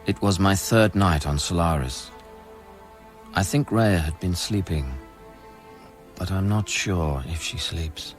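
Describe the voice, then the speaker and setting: Low-voiced, troubled male scientist. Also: slow pace, pauses often, faint music behind. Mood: haunted, reflective. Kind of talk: restrained radio drama acting.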